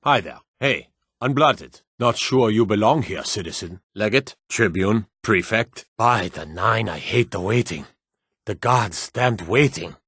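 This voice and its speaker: Gruff fantasy soldier guard. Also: male voice, on the low side, a bit bright, no room sound, studio quality, full character voice. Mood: curt greeting, then impatient, hostile. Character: stern male soldier guard in a fantasy war. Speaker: video game character voice. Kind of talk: scripted fantasy game dialogue barks.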